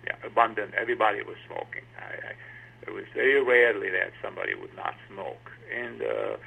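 Deep gravelly Romanian man on the phone. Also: steady tone, fairly quick, pushed and bright. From Romania, Transylvania. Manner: hesitant, many fillers. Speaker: guest pipe maker. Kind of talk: phone interview. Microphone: telephone. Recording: phone line with faint noise.

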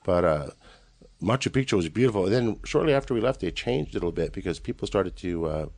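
A man's voice: sits low, expressive, quick pace, darkish tone, no room sound. A man in his late forties from Los Angeles with an American accent, telling casual, rambling, joking stories as a podcast guest. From Los Angeles, USA. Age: late 40s. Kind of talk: casual conversational storytelling.

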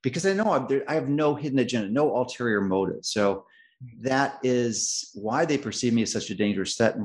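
A middle-aged man hosting a health interview, speaking emphatically and confidently, with restarts and slowed stressed phrases. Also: mid-range voice. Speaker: host. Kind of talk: interview host, long introduction.

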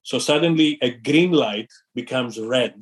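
Greek man recalling his career path. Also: medium pitch. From Greece. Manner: thoughtful, measured, with fillers and restarts. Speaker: company founder and CEO, guest. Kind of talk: interview conversation.

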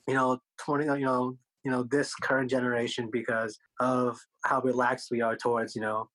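A man's voice: mid-range voice, flat delivery, normal speed, a bit bright, poor audio. Korean-first-language guy as interview guest, super casual, jokes around, says like a lot. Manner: loose and joking, heavy use of "like" and "you know".

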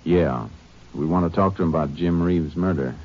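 Deep-voiced stern Texas Ranger. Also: male voice. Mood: firm. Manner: terse, firm, measured. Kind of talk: 1950s radio acting. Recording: dull old radio recording with hiss.

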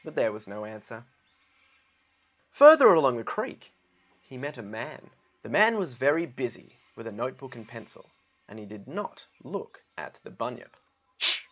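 A man's voice: medium pitch, big pitch swings, moderate pace, a bit bright, muffled recording. A man with an Australian accent reading a storybook aloud and chatting casually about it. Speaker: guest storybook reader. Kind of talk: storybook narration with casual asides.

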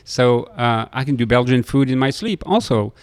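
A middle-aged man from France with a French accent, telling stories in an interview in a halting way, often hesitating and repeating words. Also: mid-range voice, lively intonation, a little mellow, clear, resonant tone. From Lille, France. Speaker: restaurateur being interviewed. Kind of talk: conversational interview storytelling.

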